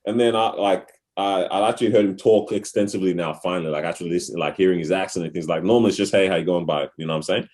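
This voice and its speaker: Low-voiced slangy young Australian man. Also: very fast, hardly any pauses. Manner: slangy, laughs loudly, storytelling. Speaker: podcast co-host. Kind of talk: casual chat.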